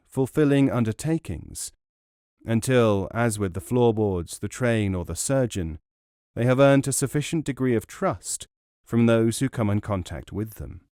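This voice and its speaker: A man reading an essay as a scripted audiobook narration, in a measured, formal way. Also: lowish voice, dark tone, clean tone, no room sound, clean studio sound.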